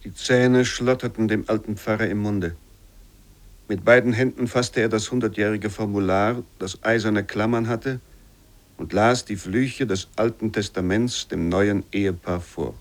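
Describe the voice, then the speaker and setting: An actor playing a solemn reciter who reads old literary prose deliberately for a radio play. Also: male voice, on the low side, steady tone. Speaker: reader of literary quotations.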